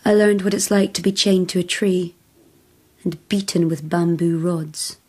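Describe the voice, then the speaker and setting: A young wild woman, raised by wolves, in a radio play. Her voice is mellow and husky. She is deferential but defiant, speaking plainly and haltingly. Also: lowish voice, fairly even intonation. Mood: plain, wary. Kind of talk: radio drama acting.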